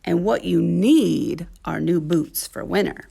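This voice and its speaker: A woman's voice: lowish voice, slow pace, gravelly, dark tone, slightly rough, full character voice. A woman voicing a kind, practical grandmother in a children's picture book reading. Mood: firm.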